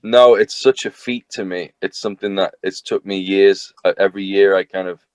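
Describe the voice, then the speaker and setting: A man's voice: low-pitched, steady tone, moderate pace, clear, resonant tone, dry recording, poor audio. A young man with a Northern English accent, a singer chatting casually as a guest, rambling and self-deprecating with lots of fillers. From north of England. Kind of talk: casual interview chat.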